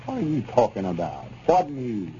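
An actor playing a self-important, impatient country storekeeper with a rural Arkansas accent and a pompous, folksy way of scolding, in a 1930s radio comedy. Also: male voice, mid-range voice, sing-song, brisk, mellow, close to an everyday voice. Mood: exasperated, scolding. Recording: muffled, noisy 1930s radio recording.

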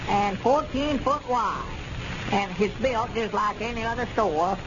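An actor playing a fretful, slow-witted old storekeeper, stammering and hesitant in 1930s radio comedy style. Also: male voice, sits high. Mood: flustered. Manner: hesitant, stammering with many uhs. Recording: muffled, hissy 1930s radio transcription.

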